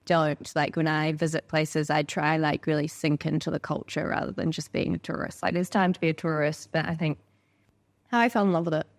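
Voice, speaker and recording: A woman with a slightly low voice and a New Zealand accent hosts a casual conversation. She speaks informally and lets her sentences ramble, in the manner of someone swapping anecdotes. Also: steady tone, fairly quick. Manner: informal, rambling, acts out quoted dialogue. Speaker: podcast host.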